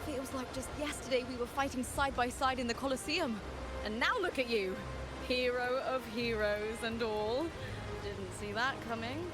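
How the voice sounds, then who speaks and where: An actress playing a friendly, boisterous gladiator comrade in a video game. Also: female voice, fairly high pitch, normal speed, close to an everyday voice. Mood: delighted, teasing. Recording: loud music behind.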